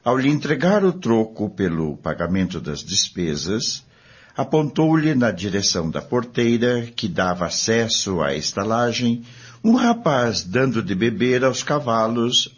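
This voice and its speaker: A man narrates a radio drama in a husky, lowish voice with animated intonation. The recording is dull, with faint music behind him. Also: a little slow. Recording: dull lo-fi audio over music.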